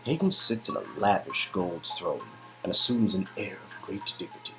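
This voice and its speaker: A man reading expressive second-person narration for an interactive story game. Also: sits low, normal speed, music in the background. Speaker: narrator and player character reader.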